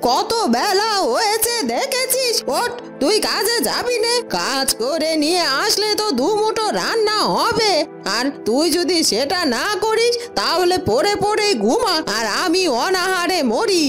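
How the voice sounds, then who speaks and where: A woman's voice: very high voice, sing-song, measured pace, full character voice. A woman voicing a fretful, caring old village mother in a cartoon, scolding and coaxing her son. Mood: nagging, plaintive. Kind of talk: cartoon voice acting. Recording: music behind the voice.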